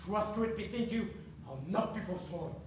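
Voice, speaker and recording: Domineering middle-aged patriarch, classical stage drama. High voice, declamatory menace, distant mic. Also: male voice, lively intonation, moderate pace, darkish tone. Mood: menacing, scornful. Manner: declamatory, bullying, contemptuous. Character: enraged domineering middle-aged nobleman father. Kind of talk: theatrical Shakespearean stage acting. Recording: echoey distant stage recording, faint noise.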